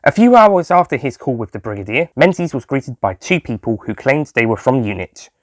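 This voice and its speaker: A male narrator reads a scripted story. His voice is of medium pitch, and the recording is close and dry with no room sound. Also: sing-song, a little fast, no echo. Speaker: author and narrator.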